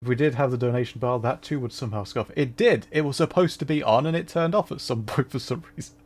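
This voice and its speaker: A man's voice: medium pitch, quick pace, a little mellow. A man talking casually and self-deprecatingly as he hosts a gaming livestream. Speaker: livestreamer, VTuber. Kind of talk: casual livestream chat while playing a game.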